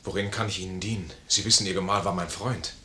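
An actor playing a proud, honourable discharged major, formal and commanding, in a 1950s German radio play. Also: male voice, deep voice, steady tone, fast talker, background noise, close to an everyday voice. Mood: grave courtesy.

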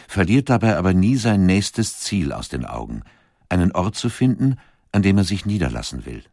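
A man reading a documentary narration in a calm, even way. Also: low voice, dry recording. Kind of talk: scripted narration. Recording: dry studio voice-over.

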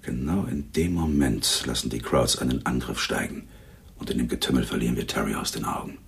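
An actor playing a menacing, boastful gangster with a gravelly husky voice, talking tough street slang in a radio crime drama. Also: male voice, a bass voice, fairly quick, full character voice. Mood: grim, low. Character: menacing, boastful male gangster, war veteran with grudge.

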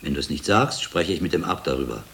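A man's voice: a bass voice, lively intonation, fast talker, creaky, fairly bright tone, full character voice. A male actor playing a shrewd medieval friar and investigator in a radio drama, speaking with dry, insistent authority. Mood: probing, insistent.

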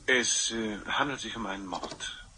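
A man's voice: fairly low pitch, unhurried, bright, forceful tone, breathy edge, heavily acted, low-quality recording. An actor playing a composed, formal and cagey man confessing to a murder in a German radio play. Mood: insistent, guarded.

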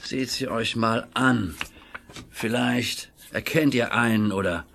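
Composed headmaster, mid-pitched. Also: male voice, slow pace, gravelly, a bit bright, a little hiss or hum. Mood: businesslike. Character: composed school headmaster, authoritative. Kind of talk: German audio drama acting.

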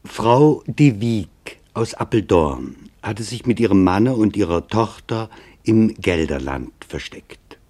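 A man narrating a literary documentary audiobook in a measured, reflective way. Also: fairly low pitch, dark tone.

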